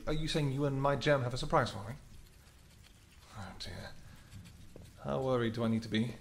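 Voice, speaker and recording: A man voicing a protective royal vampire husband in a scripted audio roleplay, soft-spoken and affectionate, with hesitant pauses. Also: mid-range voice, gravelly, a little hiss or hum, natural voice. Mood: amused.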